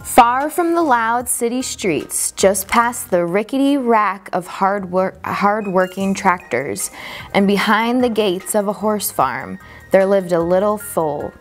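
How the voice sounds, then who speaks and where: A woman reading a children's picture book aloud as a storyteller, expressively and deliberately, drawing out key words for emphasis. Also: medium pitch, expressive, soft background music.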